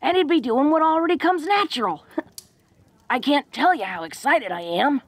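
A woman playing a tough but awkward, affectionate young orc woman in an intimate roleplay audio drama, talking in a folksy, halting way. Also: high-pitched, animated, dry recording. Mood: excited, proud. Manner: folksy, halting tough-girl talk with small chuckles.

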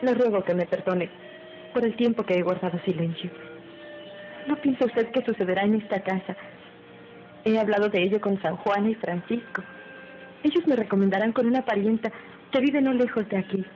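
Una mujer interpreta a una joven esposa humilde, formal y respetuosa, en una radionovela antigua. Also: female voice, medium pitch, moderate pace, long pauses, a little hiss or hum, natural voice. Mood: humble, pleading. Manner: deferential, earnest, formal. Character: humble, submissive young expectant wife, later grieving. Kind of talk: old Spanish-language radio drama acting. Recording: muffled old radio drama recording, music behind.

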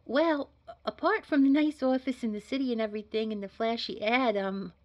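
A woman's voice: fairly high pitch, brisk, darkish tone, lo-fi sound, lightly acted. A woman playing an earnest, modest job candidate in an audio drama, talking in a chatty, hesitant, polite way. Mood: nervous, earnest. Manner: hesitant, rambling, informal, polite. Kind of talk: naturalistic scripted audio drama dialogue.

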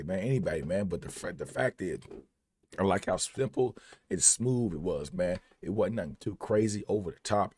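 Kentucky man, 30s, hoarse and husky, low, relaxed reaction host in a dry small room. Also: no echo. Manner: laid-back, slangy, many "you know what I'm saying". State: losing his voice. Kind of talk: casual reaction commentary. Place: small home studio, indoors.

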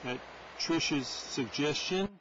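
A man's voice: fairly high pitch, takes it slowly. A man talking casually to himself while fixing a wildlife camera at an outdoor nest box, thinking aloud with many fillers. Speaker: wildlife cam caretaker. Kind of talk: casual running commentary while working. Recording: muffled lo-fi nest cam audio with noise.

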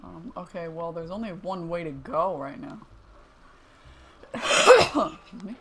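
Young woman streamer, low voice, rambling self-deprecating chat, faint room hum. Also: expressive, slow. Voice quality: low-pitched voice. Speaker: streamer playing a game. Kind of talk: casual gaming chat.

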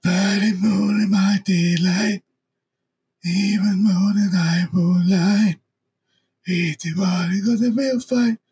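A man's voice: high voice, steady tone, slow pace, darkish tone, voice pushed up. A man voicing a young female anime heroine, singing dreamily, in poor noisy audio. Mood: dreamy. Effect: AI voice changer.

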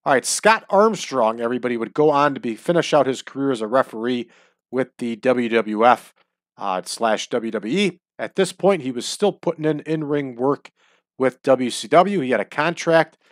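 A man's voice: mid-range voice, expressive, normal speed, dry recording, studio quality. Stuffy-nosed man chatting over gameplay. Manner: chatty, opinionated asides. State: stuffy nose from allergies. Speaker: let's-play host. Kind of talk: casual gameplay commentary. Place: at home.

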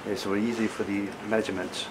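A man with a fairly low voice explains things informally as he guides a visitor. He speaks haltingly in non-fluent English and repeats words. Clear factory room noise sits behind him. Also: flat delivery. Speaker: factory representative guiding visitor. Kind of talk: informal explanatory tour talk. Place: indoors in factory warehouse and assembly hall. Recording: noisy factory room with air-conditioning hum.

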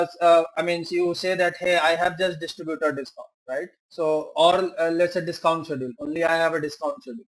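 A man teaching a software tutorial, working through examples step by step with rhetorical questions, fillers and self-corrections. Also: a little high, rough recording. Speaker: software trainer. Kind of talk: screen-share tutorial walkthrough.

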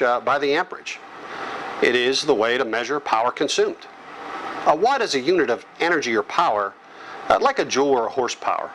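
A middle-aged American man giving an instructional lecture, explaining in short, measured phrases. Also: mid-range voice, fairly bright tone, slight background noise, rough recording. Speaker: electrical training instructor. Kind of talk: instructional lecture read from slides. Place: small office or classroom with whiteboard, indoors. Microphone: lapel microphone.

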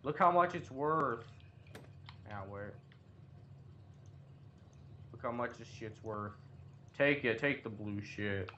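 A man's voice: mid-range voice, takes it slowly, pauses often, sharp tone, muffled recording. Sweary male gamer, noisy recording. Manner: sweary, slangy. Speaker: gamer in a co-op let's play. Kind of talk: casual gaming commentary with a friend.

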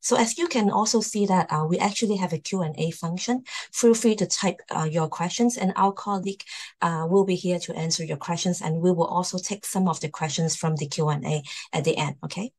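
Low-voiced woman hosting a formal presentation. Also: brisk, a little mellow. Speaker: host, university admissions presenter. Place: online video call.

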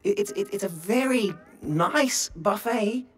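A woman's voice: medium pitch, expressive, measured pace, breathy edge, faint music behind. A woman playing a polite, hesitant alien official who stammers, in a science fiction comedy audio drama. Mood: flustered, polite.

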